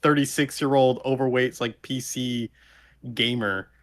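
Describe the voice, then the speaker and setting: Male co-host, medium pitch, rambling casual banter, slangy and foul-mouthed. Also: fairly even intonation, measured pace, fairly bright tone. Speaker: podcast co-host. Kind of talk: casual group podcast chat.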